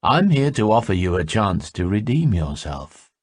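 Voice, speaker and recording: A man voicing a smooth, menacing young male envoy who speaks low, level and slow. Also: very animated, no echo, studio quality. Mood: silkily menacing.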